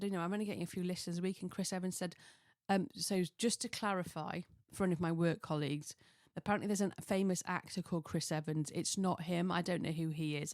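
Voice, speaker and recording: A woman with a fairly low, slightly croaky voice hosts a casual solo podcast. She rambles in a self-deprecating way and drifts into tangents. The sound is dry and close, from a small home office. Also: darkish tone, no echo. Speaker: podcast host.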